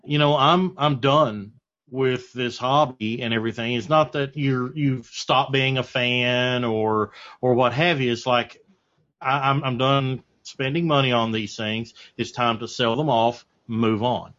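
A man's voice: medium pitch, fairly even intonation, a little slow, fairly bright tone, dull audio. A man of forty co-hosting a casual talk show, chatting reflectively and poking fun at himself. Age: 40s. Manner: reflective, chatty, self-deprecating. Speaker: podcast co-host. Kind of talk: casual panel chat about collecting.

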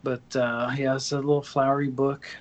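A man's voice: medium pitch, little pitch movement, moderate pace. A man in casual chat, rambling and hesitant as he shows off toys. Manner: rambling, hesitant, many fillers.

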